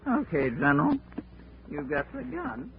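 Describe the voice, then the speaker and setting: Tough-talking older-relative type: defiant female wisecracker, vintage radio drama, lively intonation, dull noisy recording. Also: on the low side, measured pace, vocal fry, full character voice. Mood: sardonic. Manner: sarcastic, blunt, defiant. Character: brassy, tough-talking carnival auntie with a gun. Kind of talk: old-time radio drama acting.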